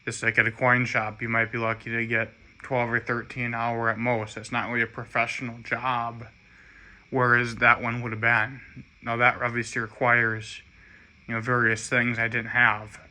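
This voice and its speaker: An American man giving a rambling, halting personal monologue in an earnest, aggrieved manner. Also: medium pitch, monotone, sharp tone.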